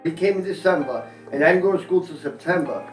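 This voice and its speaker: A man's voice: fairly high pitch, fast talker. A man in his fifties from Portugal who came to America as a child, telling casual, joking stories in an interview. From Portugal, moved to America as a young child. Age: 50s. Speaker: interviewee, one of many siblings. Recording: echoey, distant mic, music underneath. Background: faint music.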